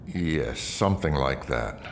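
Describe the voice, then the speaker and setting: Drama police lieutenant: resolute but tired, restrained, low register. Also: male voice, expressive, slow, creaky, a little hiss or hum, exaggerated voice. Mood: businesslike, evasive. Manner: terse, restrained. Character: weary, guilt-ridden male lieutenant, quietly resolute. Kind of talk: audio drama acting.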